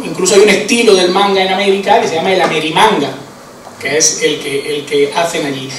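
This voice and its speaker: A man's voice: high voice, a little hiss or hum. A man with an Andalusian Spanish accent giving an informal conference talk, chatty, with many fillers and tag questions. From Andalusia, Spain. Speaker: conference speaker. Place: conference hall. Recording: echoey hall, voice from far off.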